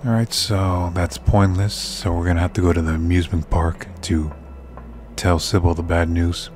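A soft-spoken male gamer who is losing his voice, giving casual commentary as he plays. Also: low voice, level tone, normal speed, background noise. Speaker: video game streamer. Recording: loud game music behind. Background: horror game soundtrack.

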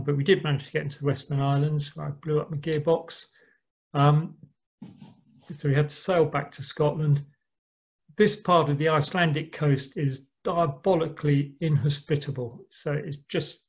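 A middle-aged man gives a laid-back talk about a voyage over an online call. His voice sits a little high, and he pads it with ums and quiet, dry asides. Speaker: yacht club speaker. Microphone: online call microphone.

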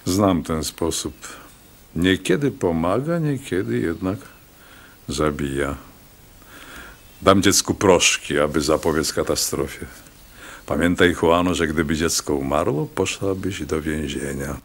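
An actor playing a haughty, menacing town doctor in a radio play, speaking in a condescending, ominous way. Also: male voice, very deep voice, measured pace, heavily acted. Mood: condescending, threatening.

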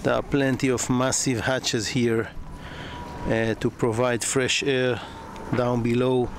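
A man giving a slow, hesitant walkthrough of a sailboat, pausing often and restarting his sentences as he films. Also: medium pitch, flat delivery. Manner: slow, halting, with many fillers and restarts. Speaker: yacht broker. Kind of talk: boat walkthrough narration. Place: on a moored sailboat in a marina, outdoors and below deck. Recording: noisy outdoor camera audio.

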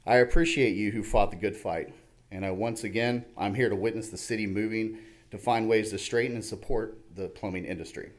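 A middle-aged man with a fairly low voice delivers a prepared statement. He speaks as a public commenter into a podium microphone in a large meeting room. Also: fairly even intonation, brisk. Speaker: public commenter, plumbing instructor. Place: large indoor council chamber. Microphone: podium gooseneck microphone.

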